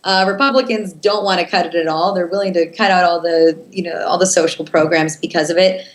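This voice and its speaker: A young woman, answering interview questions earnestly and passionately in a steady, flowing way. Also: lowish voice, brisk. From United States. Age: 20s. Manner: earnest, passionate, steady flow with filler restarts. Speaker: guest, TV news host.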